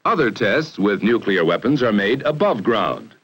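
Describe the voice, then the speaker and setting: An American man narrating a 1960 educational film in a formal, measured, authoritative way, on a vintage film soundtrack. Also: lowish voice, sing-song, a bit bright. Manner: formal, measured, authoritative delivery with emphatic phrasing.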